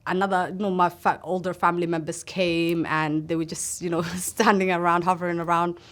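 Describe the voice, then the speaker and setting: A woman from Somalia telling her own story in a sit-down interview, in an earnest, halting way. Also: lowish voice, flat delivery, a bit bright. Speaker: interview guest. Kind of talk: personal testimony in a sit-down interview.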